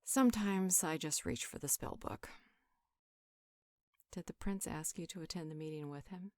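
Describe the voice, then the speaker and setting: A woman playing a calm, wise healer in an audio roleplay, talking a friend through things in a measured, reassuring, conversational way. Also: sits low, no room sound, natural voice. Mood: earnest, reassuring. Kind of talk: conversational roleplay monologue, one side of a dialogue.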